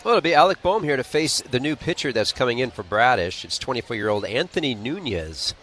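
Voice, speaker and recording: A man's voice: medium pitch, very animated, a little fast, fairly bright tone, a little hiss or hum. An American man calling live baseball play-by-play on the radio in a conversational style.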